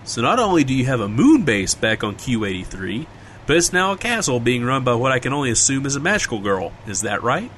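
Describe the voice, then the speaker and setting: A man's voice: mid-range voice, big pitch swings, fairly quick, fairly bright tone, a little hiss or hum. A man playing an easygoing, wry small-town local in casual audio drama banter, with a folksy conversational manner.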